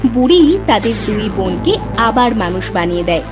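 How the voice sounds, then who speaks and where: A woman's voice: medium pitch, big pitch swings, moderate pace, over music. A woman reading scripted narration for a cartoon story.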